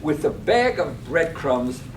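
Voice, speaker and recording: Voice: sing-song, takes it slowly. A performer acting a coaxing, easygoing village character in a comic stage reading of a radio play, wheedling with many ohs and hesitations. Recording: echoey, distant microphone, faint rumbling noise.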